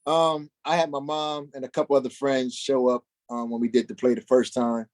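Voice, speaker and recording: Mid-pitched man chatting casually as a guest. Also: little pitch movement, normal speed, darkish tone. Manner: conversational, slangy, uses "you know" often. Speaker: stage play cast member, interview guest. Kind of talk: casual chat.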